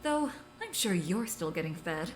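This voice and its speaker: A woman's voice: fairly low pitch, very animated, normal speed, a little hiss or hum. A woman playing a blunt, scornful doctor who trades dry, cutting sarcasm. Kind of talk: audio drama acting.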